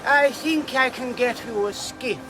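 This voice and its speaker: A man's voice: very high voice, measured pace, music in the background, rough recording. An actor playing a wary, blunt small-port boatman in a dramatised audiobook.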